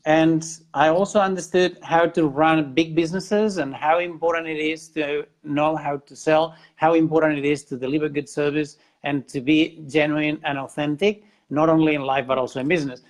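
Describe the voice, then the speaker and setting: A man's voice: on the high side, steady tone. Spanish-accented man telling his story earnestly. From Spain, Catalonia. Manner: earnest, halting restarts, repeats words. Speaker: interview guest. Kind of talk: casual interview storytelling.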